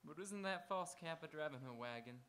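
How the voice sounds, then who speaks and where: Male actor as peacekeeping marshal, authoritative, lively intonation, forceful tone, live radio Western. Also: fairly high pitch, fairly quick. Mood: curious, thoughtful. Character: steady, watchful frontier marshal keeping the peace. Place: auditorium stage, live radio theatre performance.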